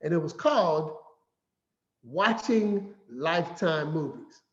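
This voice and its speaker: A man giving a finance talk as an animated, playful storyteller who acts out quotes. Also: on the high side, slow. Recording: echoey room, slightly dull sound.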